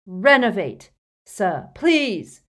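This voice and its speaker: A woman's voice: mid-range voice, very animated, very slow, voice pushed up, heavily acted. A woman voicing a desperate, frightened teenage boy wizard in an audiobook, urgent and pleading in a gasping way. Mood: panicked. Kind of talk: audiobook character voice.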